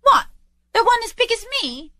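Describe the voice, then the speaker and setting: An eager young street lad from a storybook, voiced by a woman. He is cheeky and excitable, pitched high with lively swings, in a dry close sound. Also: moderate pace, dry recording, exaggerated voice. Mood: eager amazement. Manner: excitable, exclamatory.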